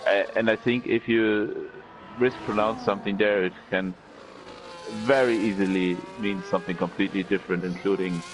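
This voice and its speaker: A man's voice: lowish voice, steady tone, normal speed, noisy background. A man co-commentating an online race in casual chat, hesitant with many fillers. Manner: hesitant, filler-heavy, laughs easily.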